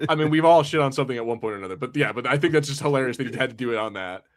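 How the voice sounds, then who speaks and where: A man's voice: medium pitch, racing. A man hosting a film podcast in casual banter, joking and swearing freely in an informal way. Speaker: podcast host.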